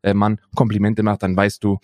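A man's voice: fairly low pitch, monotone, a little fast, darkish tone, dry recording. A young adult man co-hosting a podcast in casual chat, rambling on and tagging his lines with "ne?" and "Bruder". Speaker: podcast co-host.

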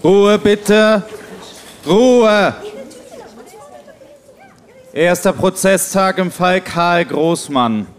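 A man playing a judge who speaks in formal, measured pronouncements. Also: a little high, lively intonation, some chatter behind, a little hiss or hum. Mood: stern.

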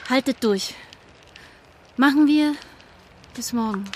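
An actress playing an earnest, agitated young climate activist in naturalistic audio drama dialogue, speaking in a halting, colloquial way. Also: female voice, sits high, a little mellow. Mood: anxious, hopeful. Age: young adult. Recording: faint background noise.

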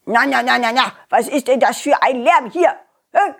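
A woman's voice: high-pitched, normal speed, gravelly, husky, heavily acted. Woman putting on a blustering, grumpy older man. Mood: scolding, annoyed. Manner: blustering, scolding, repeats words. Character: grumpy, stern, suspicious older male neighbor. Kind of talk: children's audio play acting.

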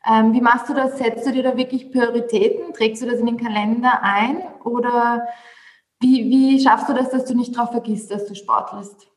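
Woman, podcast host, enthusiastic, hesitant fillers, higher pitch, echoey room. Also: fairly even intonation, moderate pace. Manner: warm and enthusiastic, hesitant "ähm" fillers in questions. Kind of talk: podcast interview. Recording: roomy echoey sound.

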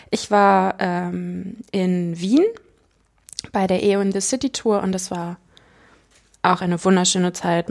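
A woman's voice: fairly low pitch, gravelly. A woman of twenty-four, chatting casually on a podcast and laughing easily. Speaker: podcast co-host. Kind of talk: casual chat.

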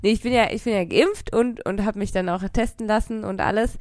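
A woman's voice: mid-range voice, brisk, a little mellow, no room sound. A young woman with a cold, chatting casually as a podcast co-host at a close mic, rambling with fillers and frequent laughs. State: has a cold. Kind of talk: casual chat. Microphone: close studio mic.

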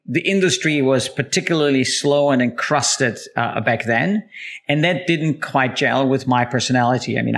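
A man in his fifties from Austria, speaking, tells his career story as an interview guest in a lively, self-deprecating way, with many fillers and restarts. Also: medium pitch. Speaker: guest, company CEO.

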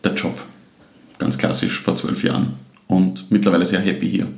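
A man's voice: deep voice, completely flat delivery, moderate pace, creaky, reverberant. A man from Styria with a Styrian Austrian accent, chatting in an easygoing way as a podcast guest. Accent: Styrian Austrian German. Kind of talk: casual chat.

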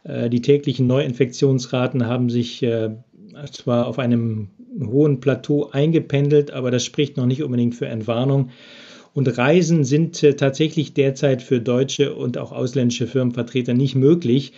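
A man's voice: mid-range voice, fairly even intonation, moderate pace, warm tone. Hesitant man on a dull remote line. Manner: hesitant, frequent filler sounds. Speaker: business expert interviewed from Japan. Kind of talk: podcast interview answers.

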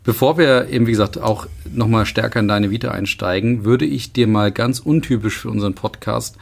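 Man, podcast host, deep gravelly voice, conversational, rambling. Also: brisk. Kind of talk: conversational interview.

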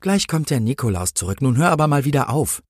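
A man's voice: medium pitch, very animated, fast talker, no echo, clean studio sound, voice pushed up. A man voicing a pompous, easily indignant Christmas angel in a comic story, speaking in a solemn, preachy, formal way. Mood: pitying, lofty.

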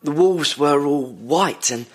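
An actor playing a troubled, polite patient in an audio drama, halting and repeating words as he recalls. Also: on the high side, husky. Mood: haunted, hesitant. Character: troubled, polite male patient recounting dreams to analyst.

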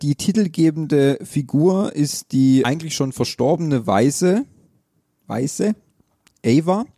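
A man's voice: fairly high pitch, animated, slow pace, warm tone, husky, dry recording, studio quality. A man co-hosting a casual podcast, retelling a series plot in a rambling way with many restarts. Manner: rambling, self-correcting retelling. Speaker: podcast co-host reviewing series. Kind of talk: casual chat.

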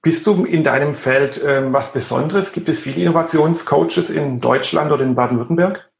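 A man's voice: on the high side, moderate pace, vocal fry. A Swabian man hosting a podcast interview, asking questions in a conversational way. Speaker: podcast host. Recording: dull roomy recording.

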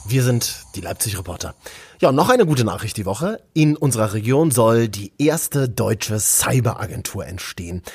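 A man's voice: medium pitch, sing-song, moderate pace, a little mellow. A man from Berlin hosting a local news show in a cheerful, casual way, full of jokey asides. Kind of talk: casual upbeat news chat.

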